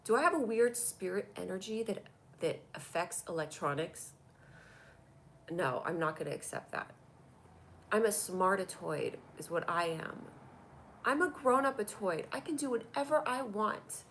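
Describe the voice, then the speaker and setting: A woman's voice: fairly low pitch, fairly bright tone, a little hiss or hum. A woman with a cold and a stuffy voice, hosting a comedy live stream and chatting through viewer comments on a tablet. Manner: chatty and rambling, reacting to comments. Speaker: comedy show host doing a live stream.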